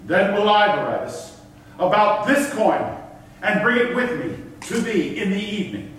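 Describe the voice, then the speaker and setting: A man's voice: high-pitched, big pitch swings, moderate pace, a bit bright, far from the mic. A man acting a dignified governor, speaking formal Elizabethan stage verse in an emphatic, declamatory way. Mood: earnest. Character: dignified governor of Malta, negotiating earnestly. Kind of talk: theatrical stage reading of Elizabethan drama. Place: concert hall stage, far camera. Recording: echoey hall from afar, faint noise.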